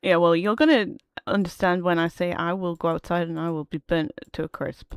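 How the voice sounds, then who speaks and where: A young woman with a Geordie accent chats fast and easily as she streams a game. Her voice sits a little low, and the sound is close and dry, with no room around it. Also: fairly even intonation, clean tone, no echo. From North East England. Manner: chatty, quick-talking, laughs often. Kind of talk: casual chat while gaming.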